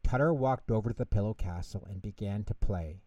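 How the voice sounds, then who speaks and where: A man's voice: fairly low pitch, brisk, a little mellow, dry recording, recorded from a distance, highs cut off. A man narrating a scripted children's bedtime story as the storyteller.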